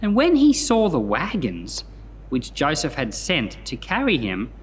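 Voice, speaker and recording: Expressive man with lively, swooping pitch. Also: a little slow, slight background noise. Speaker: Bible podcast host and pastor. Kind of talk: Bible reading followed by casual commentary.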